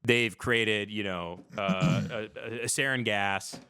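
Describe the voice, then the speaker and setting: Bright, emphatic American man ranting casually. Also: lowish voice, steady tone, slow, smooth. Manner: profane, emphatic, rambling. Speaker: podcast host. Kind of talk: casual opinionated livestream rant.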